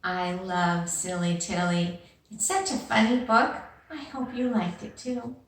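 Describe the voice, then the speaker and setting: A woman teacher reading a storybook aloud and talking to young children, expressive, with questions and pauses for them. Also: medium pitch. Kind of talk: animated storybook read-aloud and lesson.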